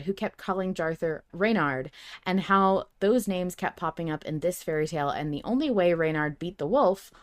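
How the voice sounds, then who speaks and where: A woman co-hosting a fan podcast, chatting casually and reading out emails in an animated, emphatic way. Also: fairly low pitch. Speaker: podcast co-host.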